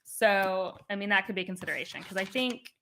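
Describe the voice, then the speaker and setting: Bright-voiced chatty woman host. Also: mid-range voice, fairly quick. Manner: chatty, rambling with many fillers and restarts. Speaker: booktube host. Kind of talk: casual book discussion.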